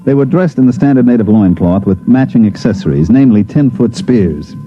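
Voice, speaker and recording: An actor playing a wisecracking, sardonic special agent in a 1950s radio drama, both speaking and narrating in a dry, hard-boiled way. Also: male voice, medium pitch, expressive, a little fast, dark tone, close to an everyday voice. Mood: dry, wry narration. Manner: wry, sardonic. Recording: dull lo-fi vintage radio, faint music.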